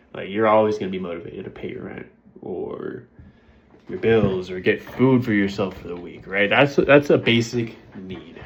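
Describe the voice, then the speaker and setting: Stuffy-nosed guy, lowish voice, chatty pep talk to viewers on a muffled recording. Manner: conversational, keeps asking "right?". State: congested. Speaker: vlogger. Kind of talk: casual motivational talk to camera.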